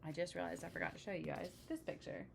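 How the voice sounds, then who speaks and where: A woman with a fairly low voice reads a children's storybook aloud. She slips into conversational asides to explain the pictures to young listeners. A faint noise sits behind her voice. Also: quick pace, creaky. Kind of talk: picture-book read-aloud with casual asides.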